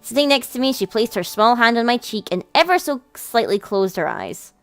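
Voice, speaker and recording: Female let's-play host, high voice, expressive story narration over game music. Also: moderate pace. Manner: dramatic storytelling with casual asides. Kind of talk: expressive narration of a visual novel.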